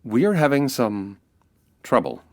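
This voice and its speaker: A man's voice: medium pitch, big pitch swings, slow pace, dry recording, studio quality. A man playing a nervous, polite, well-off young groom in a period radio mystery, speaking hesitantly. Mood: anxious.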